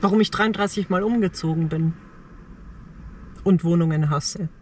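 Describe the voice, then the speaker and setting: A middle-aged woman talking reflectively in short, halting phrases in a personal vlog, recorded inside a parked car. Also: fairly low pitch, darkish tone, slight background noise. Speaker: travel vlogger.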